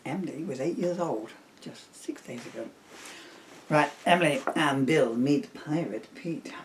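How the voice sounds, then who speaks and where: A man's voice: on the high side, unhurried. A man, a father reading a children's story aloud in a playful, jokey way with chatty asides, recorded with some noise. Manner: jokey, self-teasing. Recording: clear background noise.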